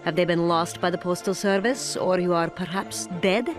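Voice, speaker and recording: A woman's voice: on the low side, fairly even intonation, moderate pace, lightly acted. An actress playing a sardonic Soviet woman reading her letter aloud in a video game, dry and teasing. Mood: wry, teasing. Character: sardonic Soviet woman writing to her beloved sparring partner. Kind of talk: letter read as game voice-over. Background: music.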